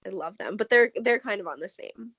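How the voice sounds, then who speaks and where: A woman's voice: mid-range voice, expressive, fast talker, fairly bright tone, husky, dry recording. A woman co-hosting a podcast, nasal and scratchy from a cold, rambling with a constant "like". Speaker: podcast co-host. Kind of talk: casual podcast chat. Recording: dry, slightly dull lo-fi audio.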